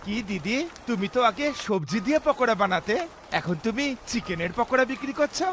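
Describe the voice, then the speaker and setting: A man's voice: sits high, expressive, fairly quick, fairly bright tone, slight background noise. A man playing a chatty, familiar customer who likes to haggle in a cartoon story. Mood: curious. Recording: music behind the voice.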